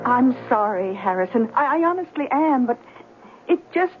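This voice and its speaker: Earnest young sweetheart, highish voice. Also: female voice, big pitch swings, loud music behind the voice. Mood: regretful. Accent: American. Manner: halting, emotional, earnest. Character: earnest, tender young small-town sweetheart. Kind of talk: old-time radio drama acting. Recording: tinny, hissy vintage radio broadcast.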